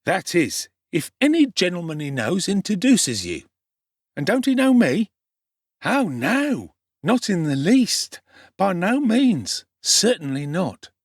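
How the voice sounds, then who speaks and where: A man's voice: high voice, huge pitch swings, slow, dry recording, clean studio sound, voice pushed up, heavily acted. A man voicing a cocky, streetwise teenage London boy with a Cockney accent and slangy swagger in an audiobook. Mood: teasing, boastful. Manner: swaggering, slangy, self-assured. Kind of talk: dramatic audiobook character acting.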